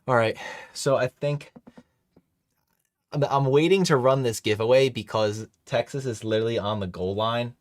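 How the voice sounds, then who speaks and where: A man's voice: medium pitch, normal speed. A man hosting a casual live stream, chatting loosely with many fillers and restarts while reading chat. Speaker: livestream host.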